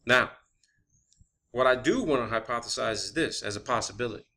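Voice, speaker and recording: Male online host, forceful bright tone, unscripted explainer, emphatic and repetitive for stress. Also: medium pitch, a little fast. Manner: emphatic, repeats phrases for stress. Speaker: video channel host.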